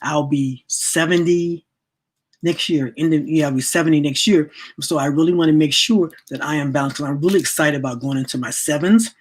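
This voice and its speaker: Husky woman in her sixties, chatty teacher. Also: sits low, fairly quick. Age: 60s. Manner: chatty, repeats words while searching for them. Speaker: spiritual wellness teacher. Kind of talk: informal workshop talk.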